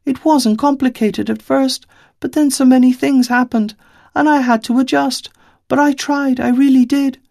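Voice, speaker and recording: A man's voice: very high-pitched, steady tone, normal speed, creaky, darkish tone, no echo, studio quality, pitched above the performer's own voice. A man voicing a small girl of about ten who speaks earnestly and insistently, in a storybook reading.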